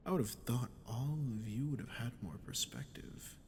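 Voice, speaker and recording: A man voicing an ancient sadistic demon in an ASMR roleplay, breathy and often near a whisper, taunting and condescending as he speaks straight to the listener. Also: medium pitch, animated, moderate pace, a little mellow, slight background noise. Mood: contemptuous. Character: ancient sadistic male demon, condescending, menacing, seductive manipulator. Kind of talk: scripted ASMR roleplay monologue.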